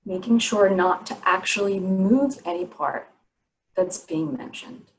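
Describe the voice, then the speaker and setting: Woman guiding a slow relaxation meditation. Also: medium pitch, fairly even intonation, a little hiss or hum, low-quality recording. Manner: soothing, unhurried instructions with pauses. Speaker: yoga nidra meditation teacher.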